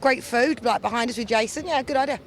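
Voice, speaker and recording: A woman bantering in a quick-witted, teasing way during a casual outdoor interview. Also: a little high, a little hiss or hum. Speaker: market visitor being interviewed. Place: outdoors at an urban market.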